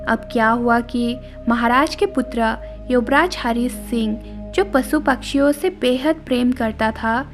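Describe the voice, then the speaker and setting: Woman, high voice, scripted story narration, storyteller, faint background music. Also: a little slow.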